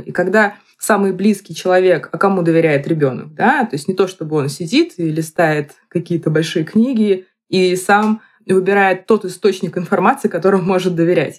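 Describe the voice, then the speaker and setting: A woman in her thirties giving reflective explanations in a podcast, picking her phrases haltingly and deliberately. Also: mid-range voice, a little mellow, slightly rough. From Moscow, Russia.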